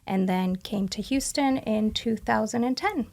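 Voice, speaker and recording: A woman in her forties from Argentina, a native Spanish speaker, chatting casually as an interview guest and pausing often with ums and uhs. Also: fairly high pitch, creaky, darkish tone. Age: 40s. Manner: halting, lots of ums and uhs, light laughs. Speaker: guest, patient.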